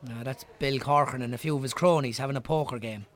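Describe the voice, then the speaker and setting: An actor with an Irish accent playing a teasing but cautious pub landlord in a radio drama. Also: male voice, medium pitch, fast, close to an everyday voice. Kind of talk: naturalistic radio drama acting.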